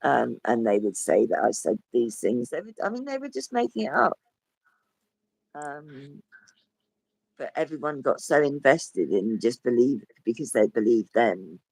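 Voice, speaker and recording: A man with a croaky, hoarse voice, talking as a guest in a rambling casual chat that trails off and restarts. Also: mid-range voice, very animated, a little slow, darkish tone, dry recording, low-quality recording. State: hoarse with a cough. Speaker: podcast guest. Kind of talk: casual conversation.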